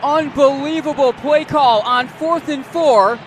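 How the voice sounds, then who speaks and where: A high-voiced male sports announcer delivers live football play-by-play for radio. He describes the action in fluent detail, with some outdoor noise behind him. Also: takes it slowly.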